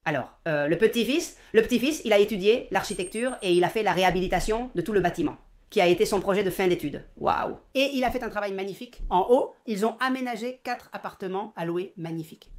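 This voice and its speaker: A woman who runs a small shop, telling visitors its history with frequent pauses and "euh". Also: mid-range voice, animated. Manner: explains with many hesitations. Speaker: shop owner. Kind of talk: casual explanation to visitors.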